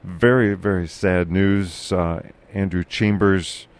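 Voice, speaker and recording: Low gravelly man with a carrying voice. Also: steady tone. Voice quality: loud, carrying voice. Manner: halting, many fillers and restarts. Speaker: podcast host. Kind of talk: casual local news chat.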